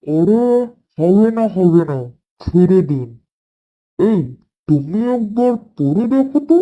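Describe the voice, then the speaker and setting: Woman as a slow, mellow royal messenger. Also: on the low side, lively intonation, highs cut off. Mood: firm. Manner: formal, deliberate. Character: stern, dutiful royal messenger announcing orders.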